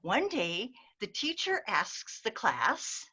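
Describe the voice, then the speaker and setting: A woman's voice: fairly high pitch, huge pitch swings, slow, bright, forceful tone, husky. A woman performing a reading of jokes and stories over slightly dull video-call audio. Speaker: readers theater performer.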